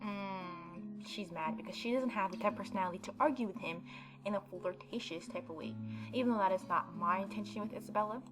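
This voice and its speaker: A woman's voice: mid-range voice, fairly quick, rough recording. Woman gaming host over prominent music. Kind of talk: visual novel read-aloud with casual commentary. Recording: background game music behind voice.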